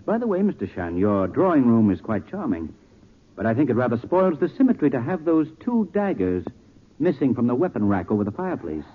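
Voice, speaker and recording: An actor playing a composed, quick-witted gentleman detective, urbane and decisive, in a 1940s radio drama. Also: mid-range voice, sing-song, slightly rough. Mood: suave. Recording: tinny, noisy 1940s radio recording.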